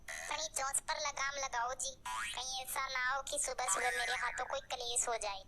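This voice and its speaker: A woman plays a bossy bird wife from a cartoon, quick to threaten. Her voice is very high, with light music behind it. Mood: scolding. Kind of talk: Hindi cartoon character acting.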